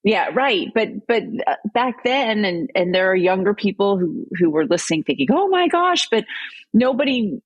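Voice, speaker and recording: A woman's voice: medium pitch, expressive, no room sound, highs cut off. A woman hosting an interview show, her voice sitting in a middle range. She joins in eagerly, affirming what her guest says and adding her own thoughts. The recording is dry and close, with no room sound. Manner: engaged, quick to affirm and jump in. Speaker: podcast host. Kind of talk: interview conversation.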